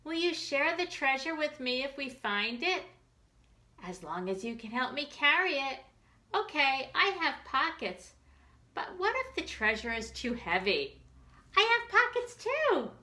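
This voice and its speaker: A woman voicing eager young children on a treasure hunt in a picture book, excitable, expressive and full of questions. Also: sits high, a little slow, heavily acted. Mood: doubtful, earnest.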